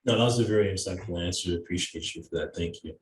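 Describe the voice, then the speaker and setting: A man's voice: sits low, quick pace. A young man moderating an online question session in a courteous, formal way over a video call. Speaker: webinar moderator. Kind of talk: polite question and answer moderation. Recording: roomy echo, distant microphone.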